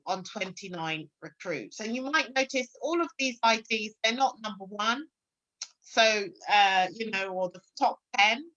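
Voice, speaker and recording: A woman's voice: medium pitch, fairly bright tone, highs cut off. A woman giving an online sales presentation, hesitant and full of filler sounds, often checking in with "okay?". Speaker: webinar presenter.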